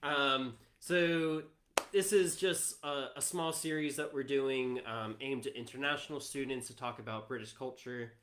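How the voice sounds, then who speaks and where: A man's voice: medium pitch, fairly even intonation, unhurried, fairly bright tone. A man with an American accent, chatting casually as he presents a live stream as co-host. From United States. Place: room in a house.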